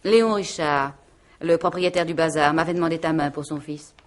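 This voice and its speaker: An actress playing a domineering, cold aunt in a radio mystery play, with an imperious, cutting and sarcastic manner. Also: female voice, sits low, moderate pace, lightly acted. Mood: commanding. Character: domineering, cold aunt who rules the household.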